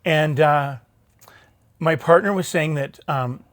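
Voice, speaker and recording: A man hosting a live talk show interview, chatty and halting, with many restarts as he looks for words. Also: fairly high pitch, fairly bright tone, no echo, studio quality. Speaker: host. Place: theatre stage, live show.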